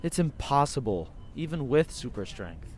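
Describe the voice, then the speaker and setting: A man playing a goofy, earnest and excitable young hero in an audio fiction comedy. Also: moderate pace, natural voice. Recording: low rumbling background noise.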